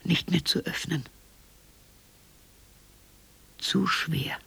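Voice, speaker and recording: An actor playing a broken, bitter old man in a radio drama monologue, hoarse and halting, often breaking into whispers. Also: on the high side, pushed and bright, heavily acted, poor audio. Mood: bleak, wondering.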